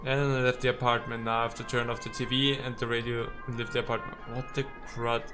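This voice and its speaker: A man's voice: mid-range voice, little pitch movement, fast talker, over music. A man giving let's play commentary, reading game dialogue aloud and breaking in with nitpicking, exclamatory asides. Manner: nitpicking, exclamatory asides between read lines. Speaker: video game streamer.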